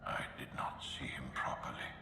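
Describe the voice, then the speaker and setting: An actor playing a grave, mystical sage in a game, speaking in a hushed, deliberate way. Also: male voice, whispered. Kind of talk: video game cutscene acting. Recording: echoey, long hall-like reverb.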